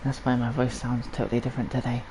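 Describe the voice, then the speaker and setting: A man with a cold, hoarse and phlegmy, rambling through casual gameplay commentary and clearing his throat now and then. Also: medium pitch, little pitch movement, fast, noisy background. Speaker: gaming channel host. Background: video game music.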